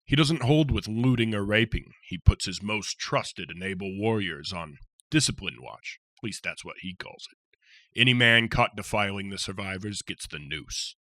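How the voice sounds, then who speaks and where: A man voicing a giant, gentle, talkative male soldier who speaks in hearty, rough folksy phrases. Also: fairly low pitch, animated, normal speed, no echo. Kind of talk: audiobook character voice.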